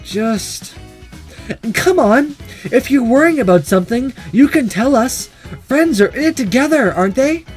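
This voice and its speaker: A loud, energetic schoolgirl from a visual novel, acted out by a man. The voice is pushed very high and bounces around in pitch, insistent and caring, with faint music underneath. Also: unhurried. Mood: exasperated, earnest. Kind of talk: visual novel character read-aloud.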